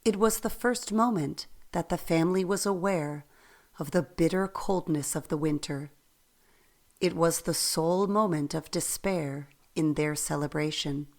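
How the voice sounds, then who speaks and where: A woman narrating a radio play as a storyteller, reading in a measured way. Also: low-pitched, a little mellow.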